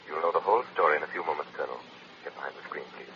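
An actor playing an invisible avenger in an old radio drama, authoritative, formal and ominous. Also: male voice, rumbling low, rapid-fire. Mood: brisk, courteous. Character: invisible male avenger, commanding, ominous, courteous. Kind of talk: 1940s radio acting. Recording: muffled, faintly noisy old radio recording.

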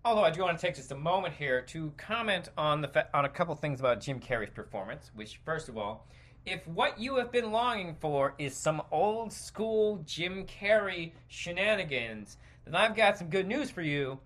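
A man hosting a podcast, giving a casual, rambling opinion rant with halting, stop-and-start phrasing and informal swearing. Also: fairly high pitch, expressive, a bit bright. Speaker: podcast host.

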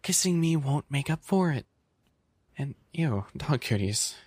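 Man playing a husky, flustered animal-boy maid. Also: fairly high pitch, animated, unhurried, darkish tone, dry recording. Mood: embarrassed, scolding. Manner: flustered, stammering, pouty. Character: bashful, fussy young animal-boy maid café server. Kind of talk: roleplay ASMR acting.

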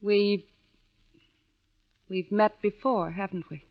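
A woman's voice: medium pitch, steady tone, slow. An actress playing a frightened but determined young woman in a vintage radio suspense drama. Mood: accusing, tense. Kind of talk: 1940s-50s radio drama acting. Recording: dull old radio broadcast recording.

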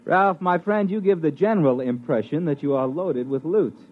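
A man's voice: a little high, phone-quality sound, natural voice. A man playing a hip, laid-back American disc jockey who chats in beatnik jive in a 1950s radio drama. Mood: curious, wry. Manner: laid-back hipster, hesitant drawn-out chattiness. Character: hip, jazzy male disc jockey spinning a yarn. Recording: thin old radio recording, music behind. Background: music.

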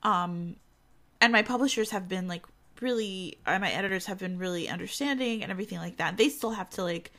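A woman's voice: mid-range voice, brisk, bright, forceful tone. A woman co-hosting a podcast in casual chat, congested from illness, talking with lots of fillers. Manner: chatty, many fillers. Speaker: podcast co-host, author.

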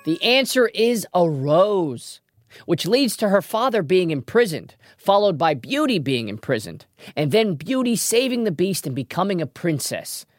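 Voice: medium pitch, sing-song, unhurried, dry recording, clean studio sound. A trivia show host presenting a scripted quiz in a playful way, with wry asides. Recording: music behind the voice.

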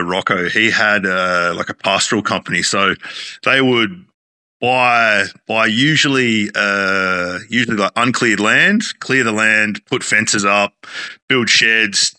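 A man's voice: fairly low pitch, pushed and bright. A man, a chef talking casually as a guest, rambling with many fillers and restarts. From Australia. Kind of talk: casual interview talk.

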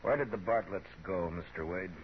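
A man playing a calm, steely frontier marshal in a radio Western, laconic and courteous, with an American accent. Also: deep voice, a little fast, close to an everyday voice. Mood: steely, probing. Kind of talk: 1950s radio Western acting. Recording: muffled, hissy 1950s radio recording.

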